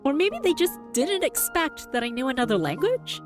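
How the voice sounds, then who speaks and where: Upbeat woman podcast host, lively pitch. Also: normal speed, over music. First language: Cantonese. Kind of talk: scripted podcast monologue.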